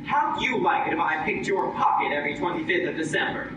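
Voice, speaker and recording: A man's voice: on the high side, expressive, fast talker, highs cut off, close to an everyday voice. A man playing an exasperated, stingy old miser with dry comic timing in a 1940s-style radio comedy. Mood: testy, stingy. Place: live stage performance in a hall. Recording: echoey hall, far from mic, noisy.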